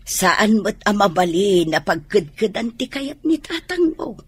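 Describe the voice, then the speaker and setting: A middle-aged Ilocano woman's husky voice with lively swings in pitch. She plays a concerned village mother in a radio drama, resigned to tradition. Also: a little slow, dry recording, exaggerated voice.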